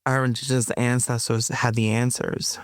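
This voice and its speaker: A woman who is a poet and interview guest, talking in an emphatic, expressive way. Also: extremely low pitch, moderate pace, no echo, studio quality. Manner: emphatic, laughs often while talking. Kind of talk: conversational interview, reciting own poem.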